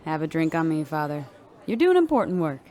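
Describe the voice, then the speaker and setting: A woman playing a warm, teasing bar owner in a sci-fi audio drama, speaking breezily. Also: sits low, sing-song, fairly quick. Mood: cheerful, teasing. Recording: crowded bar chatter behind. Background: bar party crowd.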